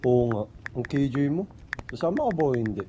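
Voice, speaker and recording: A young man plays a casual, teasing student in an amateur radio drama. His mid-pitched voice is offhand and dismissive, with clear background noise. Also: big pitch swings, fairly quick, dull audio, close to an everyday voice. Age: young adult. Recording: noisy amateur home recording.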